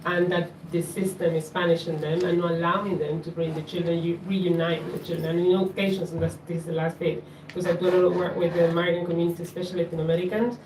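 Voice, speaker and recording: A woman from Bolivia speaking up from the audience at an open panel, in an earnest, measured, slightly halting way. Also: on the low side, flat delivery, background noise. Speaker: audience member. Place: community event room. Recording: echoey room, distant mic.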